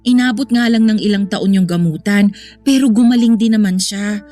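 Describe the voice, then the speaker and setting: A woman's voice: mid-range voice, moderate pace, warm tone, faint music behind, lightly acted. An actress playing a caring, sensible young woman in a radio drama, speaking earnestly and reassuringly. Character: caring, sensible young woman consoling her troubled boyfriend.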